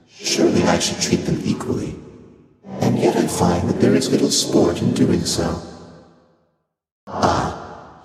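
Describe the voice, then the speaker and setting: An ancient cosmic god for a game, super low voice, slow n formal, aloof, loud music behind. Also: male voice. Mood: detached musing. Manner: slow, formal, archaic, detached phrasing. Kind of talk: video game character acting. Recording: long echoing reverb, loud music behind.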